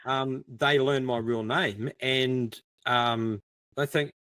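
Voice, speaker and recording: A man's voice: medium pitch, fairly even intonation, takes it slowly, sharp tone, no room sound. A middle-aged man, chatting casually and telling anecdotes in a self-deprecating way. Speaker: podcast co-host and crime writer.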